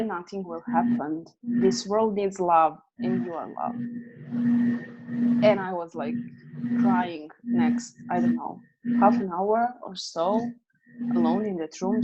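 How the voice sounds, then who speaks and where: A woman with a Serbian accent, a guest telling her personal story in an interview, earnest and halting, with restarts. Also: medium pitch, a little mellow, dull audio.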